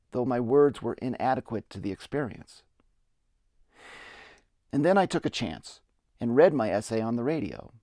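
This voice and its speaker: A man's voice: mid-range voice, a little mellow, dry recording, studio quality. A man giving a reflective, earnest dramatic reading of his own memoir. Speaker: memoirist narrator. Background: music.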